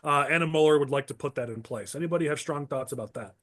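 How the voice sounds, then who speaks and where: A man hosting a political panel podcast, moving briskly through agenda items in a partisan tone while losing his voice. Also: a little high, a bit bright. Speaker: podcast host.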